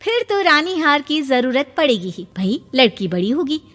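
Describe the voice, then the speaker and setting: A snappy, high-voiced young daughter-in-law, as a woman puts her on in a cartoon, forever complaining and quick to flare up. Her voice rings out with a long hall echo behind it. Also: sing-song, a bit bright. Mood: bitter. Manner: snappy, complaining, shrill outbursts.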